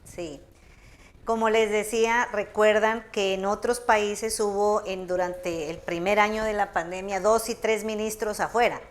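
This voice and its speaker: Woman, author at a public talk, measured and explanatory. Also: medium pitch. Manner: measured, explanatory, frequent "¿no?" tags. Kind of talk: explanatory talk answering audience.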